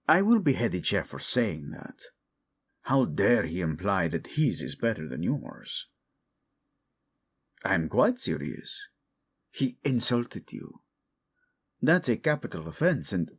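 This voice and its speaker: A man's voice: low-pitched, very animated, slow pace, long pauses, husky, full character voice. A man acting as a teasing, devoted king in an intimate romantic audio roleplay, playful and tender with his wife. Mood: mock outrage.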